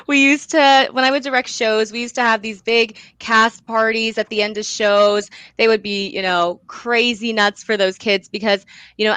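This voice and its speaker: Young woman chatting in an interview, crisp clear voice, says like and you know a lot, super dry audio. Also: a little high, pushed and bright, no echo, rough recording. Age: young adult. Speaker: guest, young playwright and director. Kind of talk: casual interview storytelling.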